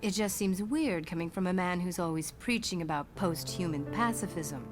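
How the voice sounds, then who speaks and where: A woman's voice: on the low side, moderate pace, close to an everyday voice. A woman playing a protective, outspoken mother in a motion comic, earnest and insistent. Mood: hesitant, worried. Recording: music behind.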